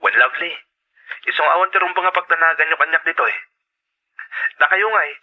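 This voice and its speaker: An actor playing a hardworking, anxious and affectionate young husband in an audio drama, speaking earnestly. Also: male voice, on the high side, fairly quick, narrow, tinny audio, close to an everyday voice. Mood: affectionate, concerned. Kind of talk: Ilocano audio drama dialogue.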